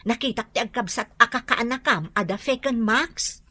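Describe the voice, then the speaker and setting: A woman's voice: a little high, moderate pace, bright, forceful tone. An actress playing a gossiping middle-aged village woman, insistent about her news. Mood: gossipy. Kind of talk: radio drama acting.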